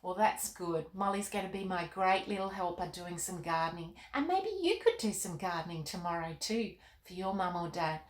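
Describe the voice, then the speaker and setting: A woman hosting children's storytime, narrating expressively and chatting to young listeners in an animated, encouraging way. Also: on the low side, normal speed, hardly any pauses, roomy sound. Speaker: children's author and storytime host.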